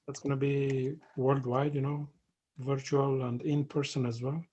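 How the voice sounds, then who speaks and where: A man's voice: medium pitch, little pitch movement, warm tone, highs cut off. A man from Romania speaking on a video call, introducing himself hesitantly with frequent fillers. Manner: hesitant, pauses often with fillers. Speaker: webinar participant. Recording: video call with background noise.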